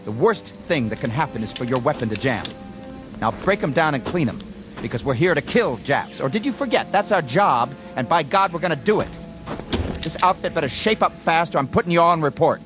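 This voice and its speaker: An actor playing an arrogant, inexperienced army lieutenant in a radio drama, with a clipped, formal, commanding manner. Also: male voice, a little high, expressive, brisk, close to an everyday voice. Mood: angry, barking. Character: arrogant, rigid, inexperienced young army lieutenant, eager for combat. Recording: dramatic music under the dialogue.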